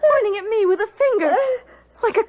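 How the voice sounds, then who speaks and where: A woman's voice: very high voice, lively intonation, brisk, slight background noise. An actress playing a sensitive, easily frightened young woman in a radio drama, with an earnest, hesitant, dramatic delivery. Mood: hushed dread. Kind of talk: old-time radio drama acting. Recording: dull old radio recording.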